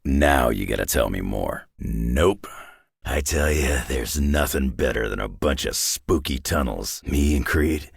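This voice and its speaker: A man voicing a gruff, grizzled old mutant brawler in a video game, cynical and terse, with a growling rasp and a sardonic tough-guy way of talking. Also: rumbling low, expressive, unhurried, no echo, clean studio sound, exaggerated voice. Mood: wry, gruff. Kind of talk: video game character voice lines.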